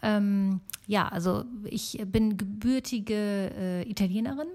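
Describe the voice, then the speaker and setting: A woman's voice: medium pitch, fairly even intonation, slow, dark tone. A middle-aged woman from Germany talking casually and alone as a podcast host.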